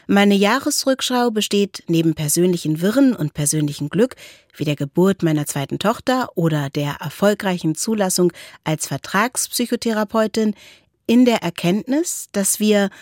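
A woman's voice: lowish voice, darkish tone, no room sound, clean studio sound. A woman co-hosts a discussion podcast, reading out listener mail and talking it over thoughtfully. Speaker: podcast co-host.

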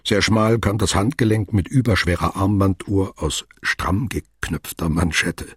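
An actor playing a sober, detached narrator, reading scripted literary prose in a radio play. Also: male voice, steady tone, measured pace, fairly bright tone, no room sound, studio quality, heavily acted. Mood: detached, precise. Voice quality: very husky, low.

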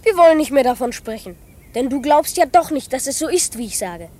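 A woman's voice: high voice, expressive, a little fast, mellow. A woman playing an earnest, proud twelve-year-old boy detective in a children's radio play, with a dramatic delivery. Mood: insistent, offended.

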